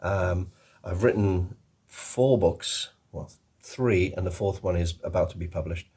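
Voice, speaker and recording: A man's voice: sits low, unhurried, a little mellow, breathy edge. A middle-aged man with an accent between English and Welsh, chatting casually to camera in a rambling way with many ums. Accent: mix of English and Welsh. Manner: rambling, full of ums and restarts. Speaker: author, illustrator and voice actor. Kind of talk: casual video chat to camera.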